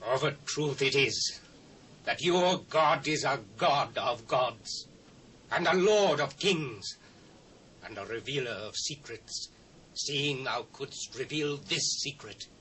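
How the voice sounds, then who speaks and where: A man's voice: mid-range voice, animated, takes it slowly, fairly bright tone. An actor with a British accent playing an awestruck ancient king, proclaiming reverently in a dramatised scripture reading. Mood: awed, reverent. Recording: noisy old cassette dub.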